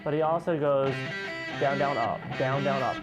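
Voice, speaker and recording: A man teaching guitar in an informal, conversational way, explaining step by step and pausing as he points out each note. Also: medium pitch, muffled recording. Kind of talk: informal instructional lesson, demonstrating step by step.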